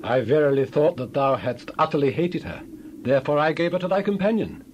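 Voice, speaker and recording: A man's voice: a little high, sing-song, brisk, muffled recording, a little hiss or hum. A man playing a bride's apologetic, placating father in a dramatized Bible reading.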